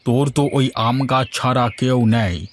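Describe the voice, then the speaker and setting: A man's voice: mid-range voice, measured pace, slight background noise, close to an everyday voice. A man voicing a paternal, bossy older village landlord in a moral story cartoon, with an authoritative manner. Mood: insistent.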